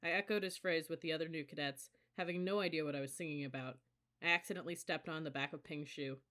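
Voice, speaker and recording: Audiobook reader: bright, forceful tone, scripted first-person novel narration. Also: medium pitch, fairly even intonation, fast talker.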